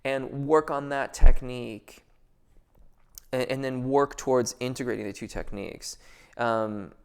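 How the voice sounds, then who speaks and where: A man's voice: mid-range voice, fairly even intonation, unhurried, clean tone. A man answering viewer questions as a vocal coach in a casual live Q&A, thoughtful and hesitant, with many fillers and restarts before careful technical explanations.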